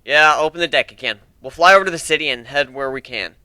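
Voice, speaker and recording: A man's voice: a little high, fast, clear, resonant tone, dry recording. A man voicing a brash, sardonic warrior leader who barks mocking commands in a fantasy story. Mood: commanding. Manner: commanding, mocking, shouts orders.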